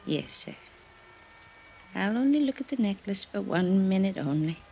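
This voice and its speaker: A woman's voice: medium pitch, animated, normal speed, close to an everyday voice. An actress playing a frail, weary, wistful older woman in an old-time radio drama, lingering sentimentally over her words. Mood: sleepy, tender. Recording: dull, noisy old radio recording.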